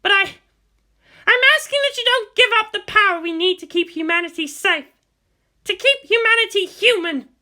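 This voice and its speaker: A wounded young woman fighter with a vengeful streak, acted by a female narrator. Her voice is very high and forceful, swinging widely as she snarls out each phrase with hot-tempered emphasis. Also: animated, moderate pace, full character voice. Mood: pained, furious.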